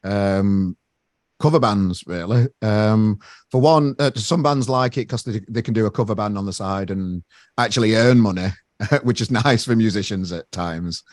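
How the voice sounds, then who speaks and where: Northern english bloke hosting a music chat, lowish voice, dry close sound, keeps restarting his sentences. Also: moderate pace, darkish tone, no echo. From Sheffield, England. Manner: chatty, restarts sentences, says mate. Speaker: interviewer and gig promoter. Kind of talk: casual interview chat.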